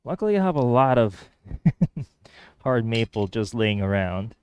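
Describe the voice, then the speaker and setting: Casting: male woodworker with Spanish as his first language. Smooth, mid-pitched voice, close dry sound, rambling workshop talk. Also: big pitch swings, a little slow, no room sound. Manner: thinking aloud, hesitant restarts. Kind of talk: casual workshop vlog narration.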